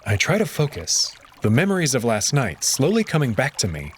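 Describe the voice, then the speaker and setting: A man narrating a story in the first person in an expressive storytelling manner. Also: medium pitch, moderate pace, a little hiss or hum. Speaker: audio drama narrator.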